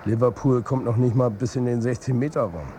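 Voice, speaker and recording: A man's voice: medium pitch, a little fast, background noise, close to an everyday voice. An actor playing a wry, weary football coach and family man, narrating a radio drama in a colloquial, confiding way. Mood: wry, building hope. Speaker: narrator and protagonist.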